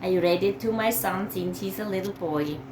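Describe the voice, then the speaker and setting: A woman reading a children's picture book aloud in an expressive, dramatic way. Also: mid-range voice, background noise. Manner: slow, dramatic phrasing for young children.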